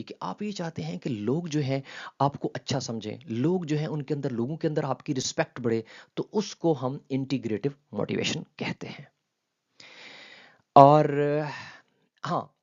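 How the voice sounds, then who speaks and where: A man with a Pakistani accent lectures on a linguistics textbook chapter, dropping English terms into Urdu explanations. He pauses often on fillers as he works through each idea. His mid-pitched voice comes through a dull, lo-fi recording. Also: a little mellow.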